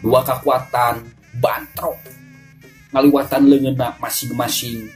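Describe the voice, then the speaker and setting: A woman with a Sundanese accent tells a dramatic folk tale. Her voice is extremely low and she takes her time between phrases. Soft music runs behind her. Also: little pitch movement, takes it slowly. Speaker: storyteller.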